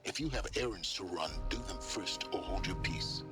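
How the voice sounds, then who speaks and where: An actor voicing a pompous, secretive older scholar in a video game, speaking in a measured, sardonic and eloquent way. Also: male voice, low voice, natural voice. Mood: stern, commanding. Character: gruff, haughty, secretive older male scholar, impatient and imperious. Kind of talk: dramatic video game voice acting. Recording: loud game music behind the voice. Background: game music.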